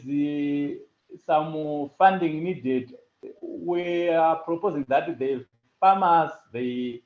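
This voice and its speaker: A man presenting a farming project and answering questions on a call, pausing often with fillers and restarts. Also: a little high. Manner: halting, with many fillers and restarts. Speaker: hackathon presenter. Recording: echoey lo-fi call audio.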